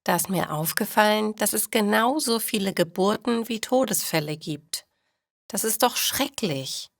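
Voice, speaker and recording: Storybook role: sorrowful, earnest woman, acted by a female reader, downcast, measured, dry recording. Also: mid-range voice, animated, gravelly, dry recording, pitched above the performer's own voice. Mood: sad. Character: melancholy, brooding woman, usually talkative.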